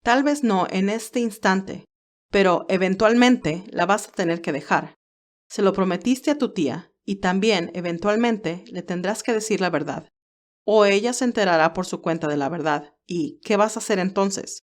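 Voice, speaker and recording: A woman's voice: medium pitch, moderate pace, close to an everyday voice. A woman voicing a stern, protective older male advisor in an audio drama, speaking in an earnest, admonishing way.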